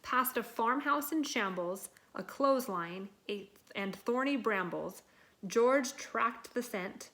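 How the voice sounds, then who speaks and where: A woman reading a children's picture book aloud in an expressive, dramatic and deliberate way. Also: a little high. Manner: dramatic, deliberate delivery with acted sound words. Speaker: storybook reader.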